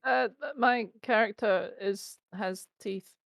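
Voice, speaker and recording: A woman player describing her character in a giggly, halting way. Her voice sits at a middling pitch. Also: vocal fry. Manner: giggly, hesitant. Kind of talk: casual chat.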